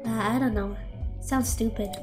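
A woman voicing an earnest, teasing schoolgirl in a visual novel, soft-hearted and playfully bossy. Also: on the high side, fairly even intonation, moderate pace, lightly acted. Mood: wistful, shy. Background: game music.

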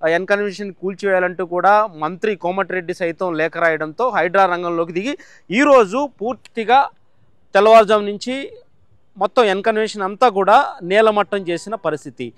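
A man's voice: sits high, brisk, clean tone, no room sound. A man reporting the news from a site, explaining step by step with fillers and restarts.

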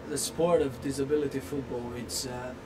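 Young guy w romanian accent, kinda flat, halting interview answers, noisy outdoor recording. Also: mid-range voice. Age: 20s. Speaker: assistant football coach being interviewed. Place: outdoors in front of bushes.

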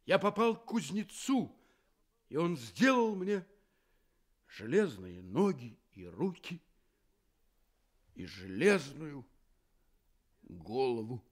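A man's voice: fairly high pitch, sing-song, exaggerated voice. An actor playing a sentimental, courteous iron woodman in a radio play, speaking earnestly and dramatically. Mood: dramatic recounting. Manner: earnest, dramatic, drawn-out delivery. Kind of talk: theatrical Soviet radio play acting.

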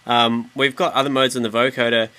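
A man giving a casual, informal software tutorial as a music production tutor. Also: medium pitch, level tone, fairly quick. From New Zealand. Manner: informal, mildly sweary. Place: indoors, voice-over on screen recording.

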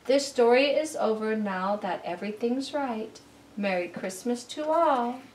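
High-voiced woman reading a storybook, roomy sound. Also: a little slow, a little hiss or hum. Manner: expressive, sing-song storybook delivery. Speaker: read-aloud storyteller for children. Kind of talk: picture book read-aloud in rhyme. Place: room at home beside a Christmas tree. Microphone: camera mic at some distance. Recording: roomy, distant camera recording.

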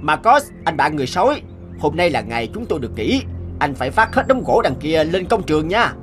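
Man voicing a lively, higher-pitched cartoon working man. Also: fairly quick, soft background music. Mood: sly, teasing. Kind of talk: animated story dubbing.

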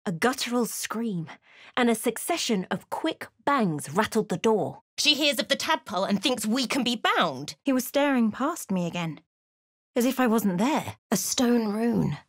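An actress playing an observant, wry woman adventurer in a fantasy video game, with measured, dramatic storytelling delivery. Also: a little high, big pitch swings, dry recording, clean studio sound. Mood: animated, emphatic. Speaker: voice actor for a fantasy role-playing game companion or player character. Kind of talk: scripted video game voice lines, narration and character remarks.

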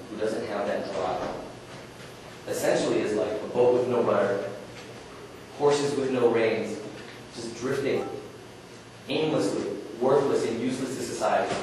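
A man's voice: mid-range voice, a little mellow. A young man giving a conference workshop talk to an audience, earnest and conversational, asking questions and using casual fillers. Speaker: workshop presenter. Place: conference room, speaking to an audience. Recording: echoey room, distant mic, faint noise.

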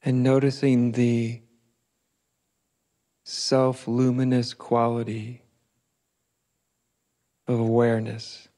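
A man leading a guided meditation as a teacher, speaking gently in short, spaced phrases with long pauses between them. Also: medium pitch, completely flat delivery.

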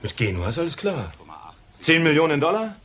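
An actor playing a brisk, businesslike criminal money man in a radio crime drama. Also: fairly high pitch, sing-song, a bit bright. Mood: hurried.

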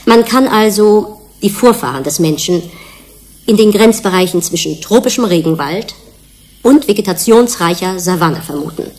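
An actress playing an earnest scientist in a German radio drama, lecturing colleagues in a measured, precise, didactic way. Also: female voice, mid-range voice, animated, darkish tone, close to an everyday voice. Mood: earnest, explanatory.

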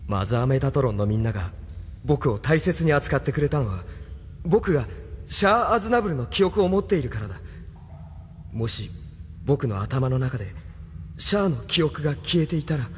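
A man's voice: mid-range voice, expressive, normal speed, pauses often, lightly acted. A man playing an earnest, self-doubting young hero in a Japanese audio drama, sounding troubled. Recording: long hall reverb, faint noise.

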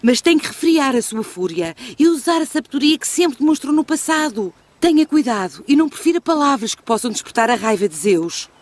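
A woman with a European Portuguese accent playing a wise, stern yet compassionate goddess in a dubbed animated film, measured and formal. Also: sits high. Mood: solemn, consoling.

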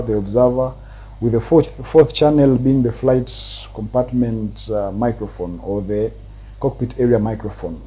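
A man in his thirties presenting a technical tutorial, explaining step by step in deliberate, short phrases with a husky voice. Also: medium pitch, mellow, rough recording. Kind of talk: explanatory lecture.

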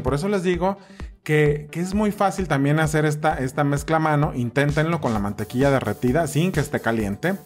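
A man in his thirties with a Mexican accent, narrating a recipe casually in a chatty, informal way. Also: medium pitch. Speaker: cooking video host.

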